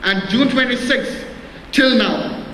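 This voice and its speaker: Halting high-voiced man in echoey hall. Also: a bit bright. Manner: halting, many restarts and repeated words; earnest and plain. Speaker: church member giving testimony. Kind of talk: spoken testimony in church. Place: church hall. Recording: echoey hall with faint noise.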